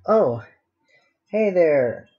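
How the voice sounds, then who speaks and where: A woman's voice: fairly low pitch, very animated, lo-fi sound. A woman chatting casually and musing to herself as she hosts a live sketching session. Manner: musing to self, unhurried. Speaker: artist hosting a live drawing session. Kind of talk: casual chat while sketching.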